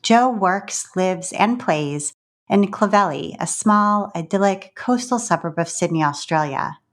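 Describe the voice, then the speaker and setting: A woman hosting a podcast, reading an introduction and asking the guest clear questions. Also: medium pitch, expressive, unhurried. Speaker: podcast host. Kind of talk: scripted intro then interview questions.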